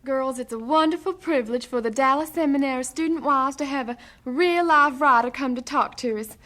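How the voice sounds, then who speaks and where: A woman's voice: high-pitched, fairly quick, a bit bright. A woman playing a chatty, effusive seminary wife introducing a guest in an old-fashioned radio drama, with a sugary manner. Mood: gushing, self-important.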